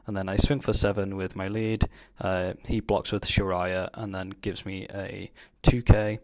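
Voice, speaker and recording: Lower-pitched man casually commentating card gameplay. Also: little pitch movement, measured pace. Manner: informal, slangy, explanatory. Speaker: card game player commentating his own match.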